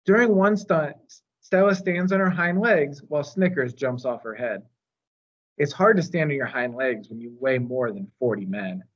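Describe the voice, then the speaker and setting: A man reading a children's novel aloud in a measured, expressive storytelling manner. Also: fairly high pitch, muffled recording. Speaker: storytime reader.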